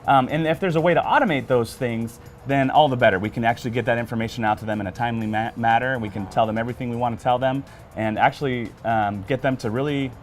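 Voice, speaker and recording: A man's voice: mid-range voice, fairly even intonation, fast, soft background music. A man giving small business advice on a weekly video chat, friendly and upbeat, telling anecdotes with a few fillers. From Arizona, USA. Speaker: regular business guest. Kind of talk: casual explanatory chat.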